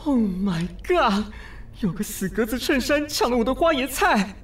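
Melodramatic, fussy city snob, wildly swooping. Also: male voice, extremely high pitch, lively intonation, normal speed, over music. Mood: outraged, hysterical. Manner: melodramatic, sarcastic, swinging from muttering to shrieking. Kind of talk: radio drama acting with inner monologue.

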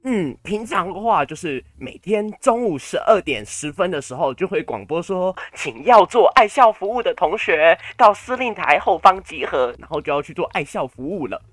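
A man's voice: high-pitched, big pitch swings, normal speed, few pauses, fairly bright tone, no echo. A young man with a Taiwanese Mandarin accent co-hosting a podcast in casual banter with a playfully theatrical manner. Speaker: podcast co-host.

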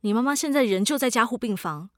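A woman's voice: on the high side, expressive, fast, no room sound, close to an everyday voice. A devoted woman played by an actress in a radio drama. She speaks in an earnest, pleading, anxious way, recorded close and very dry. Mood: earnest, firm. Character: devoted younger sister and aunt, gentle and anxious.

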